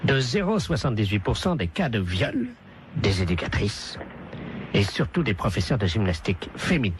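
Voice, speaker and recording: A man puts on a self-important male school inspector, ceremonious and alarmed. His voice swings widely in pitch as he makes his prank call. The recording is clearly noisy. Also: very animated, moderate pace. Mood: pompous, alarmed. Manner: pompous, ceremonious. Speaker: prank caller. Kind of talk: improvised telephone prank.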